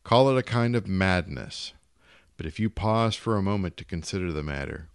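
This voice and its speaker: A man's voice: low-pitched, expressive, moderate pace, clear, resonant tone, dry recording, clean studio sound. A man reading a literary short story aloud as scripted narration.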